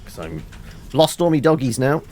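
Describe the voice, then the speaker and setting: A man's voice: medium pitch, very animated, moderate pace, darkish tone, a little hiss or hum. A British man giving casual, chatty live commentary as he plays a game, with wry asides. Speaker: game streamer.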